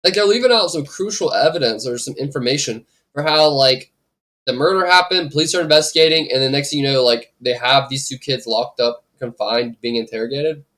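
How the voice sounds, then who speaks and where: A man streaming, reacting to a video and chatting bluntly with viewers, incredulous, with a raspy voice. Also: mid-range voice, fairly quick, a bit bright, rough recording. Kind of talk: casual chat with swearing.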